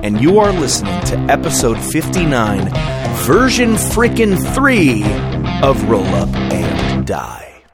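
Female intro announcer, very deep voice, dramatic swings in pitch, loud guitar bed. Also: wildly swooping pitch, takes it slowly. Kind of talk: dramatic show intro read. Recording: loud guitar music over the voice. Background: loud plucked guitar music.

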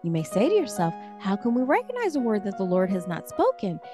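American woman, podcast co-host, animated intonation, wry, informal, mid-range pitch, music underneath. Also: brisk. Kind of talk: casual conversation and scripture reading.

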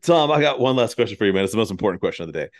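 A man's voice: lowish voice, expressive, very fast. A man hosting a podcast in casual chat, with an irreverent, sweary way of talking. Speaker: podcast host.

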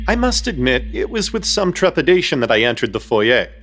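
A man's voice: medium pitch, very animated, fairly quick, fairly bright tone, soft background music. A man narrating a comic horror radio play in an ornate, mock-grave, wry storytelling manner.

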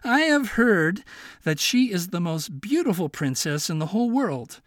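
A man's voice: high voice, big pitch swings, moderate pace, dry recording, clean studio sound, pitched above the performer's own voice. A man voicing a humble, poor and lonely young man who speaks plaintively in a storybook tale.

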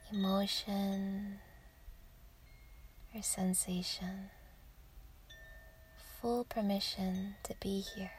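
Woman, meditation guide, soft breathy whispering, very slow and gentle, even pitch, ambient chimes and noise behind. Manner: gentle, soothing, unhurried with long pauses. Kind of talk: guided yoga nidra meditation.

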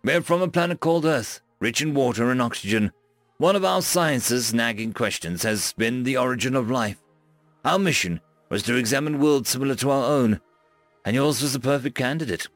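A man's voice: medium pitch, normal speed, fairly bright tone, no room sound, soft background music, lightly acted. A man voicing a friendly male human starship captain who talks earnestly and gets a little flustered.

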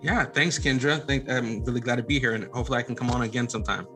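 A man's voice: medium pitch, fast talker, fairly bright tone, faint music behind. A man speaking earnestly and informally as a podcast guest, giving advice in casual interview talk. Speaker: podcast guest, media company CEO.

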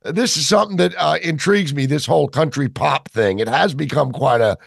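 A man's voice: fairly high pitch, a little fast, vocal fry, dry recording, clean studio sound. A man hosting a talk radio show, chatting with enthusiasm.

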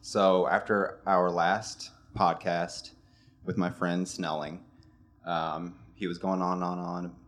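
Male co-host, very low voice, loose rambling storyteller. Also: little pitch movement, slow pace. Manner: rambling, laid-back storytelling. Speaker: podcast co-host. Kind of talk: casual storytelling chat.

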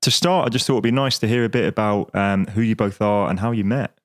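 A man co-hosting a podcast asks his guests questions with gentle, halting prompts. He sounds dry and close to the mic. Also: fairly low pitch, dry recording. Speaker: podcast co-host. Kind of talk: interviewing, casual.

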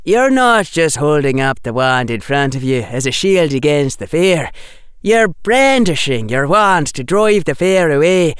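A man acts an earnest schoolboy in an audiobook. The voice is lifted in pitch and lively in its rise and fall, with plain, emphatic phrasing. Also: a little slow, clear, resonant tone, no room sound, studio quality. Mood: firm, encouraging. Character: earnest, firm schoolboy, helpful and plain-spoken. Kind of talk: audiobook character voice.

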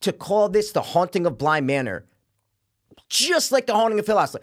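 A man with a slightly higher-than-usual voice rants in casual podcast chat. He talks emphatically and swears freely while reviewing a show. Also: sing-song, normal speed. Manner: profane, emphatic ranting. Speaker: podcast co-host.

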